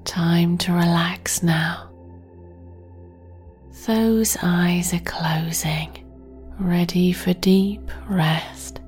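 A woman guiding a sleep meditation in a soft, breathy near-whisper, gently and with long pauses. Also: fairly low pitch, steady tone. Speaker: meditation guide and podcast host. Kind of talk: guided sleep meditation, soothing narration. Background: meditation music.

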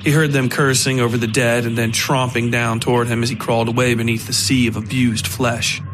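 A man reading scripted dark fiction as the narrator of a horror podcast. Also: medium pitch, level tone, fairly quick, noisy background. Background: music.

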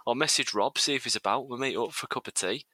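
A man's voice: medium pitch, pushed and bright, husky, dry recording. A running coach co-hosting a podcast, a man who teases his co-host and chats casually. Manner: teasing, quick with jokes. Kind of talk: casual chat.

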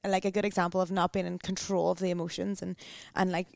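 A woman's voice: fairly low pitch, level tone, quick pace, gravelly, a little mellow, no room sound, clean studio sound. A woman guest on a casual podcast, a wellness coach chatting in a loose, filler-heavy way.

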